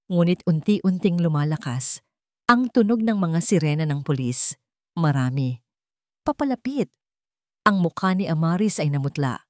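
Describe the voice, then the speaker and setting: A woman narrating a scripted romantic drama story in a dramatic, measured storytelling manner with emphatic short phrases. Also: fairly low pitch, a little mellow, no echo, clean studio sound.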